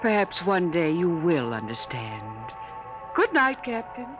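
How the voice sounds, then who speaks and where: An actress playing a serene, devoted young wife in a 1950s radio drama, speaking formally and with measured poise. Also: female voice, fairly low pitch, big pitch swings. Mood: proud, mysterious. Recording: vintage radio drama with faint music.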